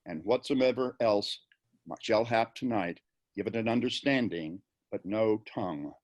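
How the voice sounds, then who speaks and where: A brooding, grieving young prince, acted by an amateur male reader, with a lowish voice and a very unhurried pace. The verse is deliberate and theatrical, in the manner of a Shakespeare stage reading. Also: darkish tone, close to an everyday voice. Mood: resolute, grave. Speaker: amateur volunteer actor. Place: indoors, on a video call. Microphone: video call.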